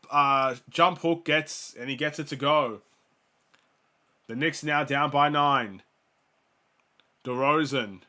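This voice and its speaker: A young man hosting a fan watchalong, giving casual play-by-play and stats while sick, his voice starting to go. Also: fairly high pitch. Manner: rattles off stats, gets louder on big plays. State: sick, voice going. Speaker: fan host of a watchalong stream.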